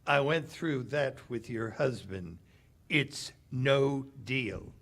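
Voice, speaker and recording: An actor performing in 1940s radio style, playing men from a vintage broadcast with a hearty, deliberate delivery. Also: male voice, medium pitch, lively intonation, fairly bright tone, exaggerated voice. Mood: bitter, guarded. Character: folksy, enthusiastic 1940s soap company spokesman; bitter, guarded cafe owner. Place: live stage performance at a public library.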